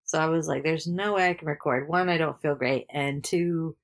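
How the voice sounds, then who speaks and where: A woman co-hosting a casual podcast, a little congested, chatting in a relaxed and easygoing way. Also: low voice, normal speed. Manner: relaxed, chatty, laughs easily. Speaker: podcast co-host. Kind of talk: casual chat.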